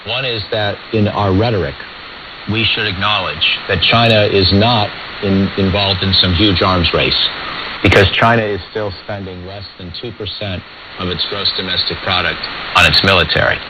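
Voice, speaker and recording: An American man giving measured, diplomatic, explanatory answers as a foreign policy expert in an interview. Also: sits low, fairly even intonation. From United States. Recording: dull lo-fi radio relay with static noise.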